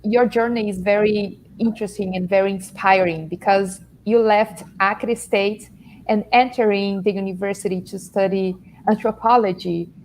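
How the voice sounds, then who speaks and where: A woman moderator poses a long, rambling question, restarting her phrasing as she goes. Her pitch is middling, and faint background noise sits under her video-call audio. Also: fairly even intonation, normal speed, a little mellow, low-quality recording. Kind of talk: interview question on a panel.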